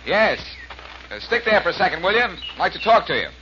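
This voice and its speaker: An actor playing a brisk, determined young detective hero in 1940s radio style. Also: male voice, high voice, sing-song. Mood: earnest, puzzled. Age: young adult. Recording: muffled, noisy old radio recording.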